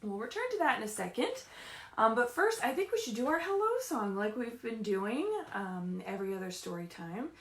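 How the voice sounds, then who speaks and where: A woman leading a story time for young children as a librarian, animated and encouraging, often putting questions to the kids, speaking from a room at home. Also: a little high, moderate pace. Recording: roomy, echoey home livestream.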